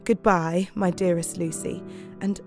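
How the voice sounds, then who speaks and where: A woman's voice: on the low side, fairly even intonation, unhurried, a little mellow, soft background music. An actress playing an affectionate, eager young woman, voicing a tender letter to a friend in an audio drama.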